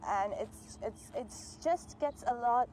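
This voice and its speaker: A woman's voice: fairly high pitch, takes it slowly, a little mellow, a little hiss or hum. Earnest woman in her twenties. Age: 20s. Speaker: interviewee. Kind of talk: casual interview talk.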